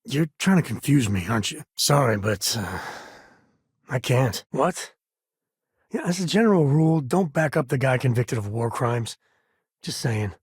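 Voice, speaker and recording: A man's voice: medium pitch, animated, measured pace, breathy edge, dry recording, clean studio sound. An actor playing an easygoing, roguish middle-aged space cowboy and devoted father in a video game, with folksy, wry, laid-back phrasing. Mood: wry, teasing. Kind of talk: video game character dialogue.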